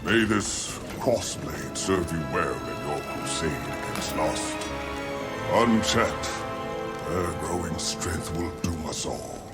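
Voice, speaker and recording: Very deep, grandiose angel commander. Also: male voice, animated, slow, full character voice. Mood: solemn, bargaining. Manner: theatrical, solemn, formal. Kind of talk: video game voice acting. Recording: music behind.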